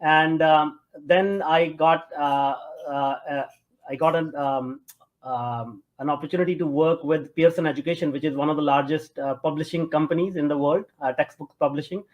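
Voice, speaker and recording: A man from Punjab with a North Indian accent, answering as an interview guest in a halting, conversational way with many fillers. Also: a little high, fairly even intonation, dull audio. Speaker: interview guest, tech entrepreneur.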